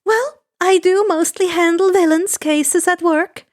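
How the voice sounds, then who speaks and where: A woman's voice: very high-pitched, fairly even intonation, unhurried, pitched above the performer's own voice. A woman voicing a flustered, motherly middle-aged former vigilante with an earnest, fussy way of talking, in a story reading. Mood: matter-of-fact.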